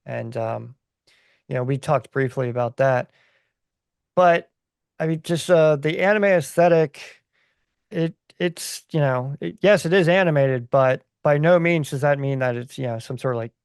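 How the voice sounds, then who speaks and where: Gravelly-voiced man talking on a podcast. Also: a little high, normal speed, no echo, studio quality. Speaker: podcast co-host or guest. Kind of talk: casual podcast discussion.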